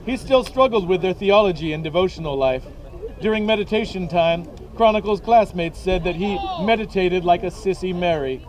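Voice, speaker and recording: A man narrating a comic story in a deadpan storybook manner, recorded outdoors at an event. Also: high-pitched, moderate pace. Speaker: reader. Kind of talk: comic dramatic reading aloud.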